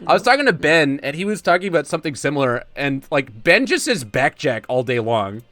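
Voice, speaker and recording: A man's voice: a little high, very animated, a little fast, a bit bright. A man in his thirties from Florida hosting a podcast, talking casually and rambling with lots of fillers and laughs. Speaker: podcast host. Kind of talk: casual chat.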